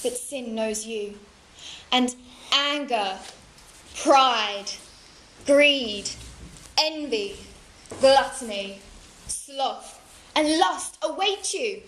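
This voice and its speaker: A grave voice of judgment that proclaims warnings slowly and deliberately, performed by a woman. Her tone is high and bright, and the sound rings in a roomy space. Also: lively intonation, slight background noise, heavily acted. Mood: solemn. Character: solemn moral voice warning of sin, adult woman. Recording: roomy echo.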